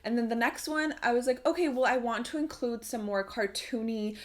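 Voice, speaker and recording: A woman's voice: fairly high pitch, a little fast. A young adult woman talking in a chatty, explanatory way, like a casual tutorial vlog from a video creator.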